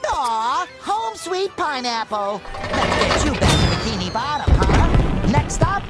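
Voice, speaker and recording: Cartoon game hero: childlike sea sponge, very high animated voice, earnest, loud music underneath. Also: male voice, slow, full character voice. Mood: relieved, cheerful. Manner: earnest, excitable.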